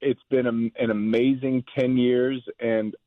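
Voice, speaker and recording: A man talking in a phone conversation in a halting way, often repeating his words. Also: medium pitch, little pitch movement, no echo, phone-quality sound. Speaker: prank call target.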